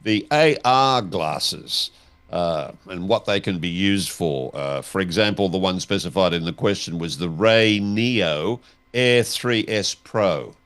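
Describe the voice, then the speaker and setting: A man interviewing as a host, putting deliberate, inquisitive questions in a conversational style. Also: deep voice, lively intonation, fairly bright tone, clean tone.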